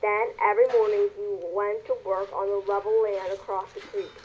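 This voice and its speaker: Girl or woman reading a kids book out loud over a tinny phone line, careful, trips on words. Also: mid-range voice, level tone, moderate pace, a little hiss or hum. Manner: careful reading with word stumbles and self-corrections. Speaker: reader. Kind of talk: reading a children's novel aloud. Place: remote call. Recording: tinny, narrow phone-like call audio.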